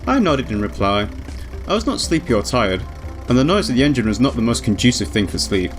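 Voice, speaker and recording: Male let's player, mid-pitched and expressive, reading story narration with music behind. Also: brisk. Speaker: let's player reading a visual novel aloud. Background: background music.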